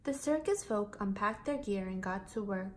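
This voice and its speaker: A woman reading a children's picture book aloud in an expressive storytelling style. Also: medium pitch, normal speed, slight background noise.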